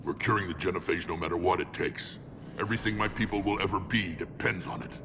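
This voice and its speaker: A man's voice: low-pitched, fast, background noise. A man voicing a battle-hardened alien warlord in a video game, blunt, commanding and loyal. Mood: grave, resolute.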